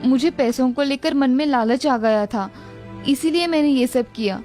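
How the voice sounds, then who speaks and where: A woman's voice: sits high, normal speed, a little mellow, faint music behind, close to an everyday voice. A woman playing a greedy, scheming old mother-in-law in story dialogue. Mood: remorseful.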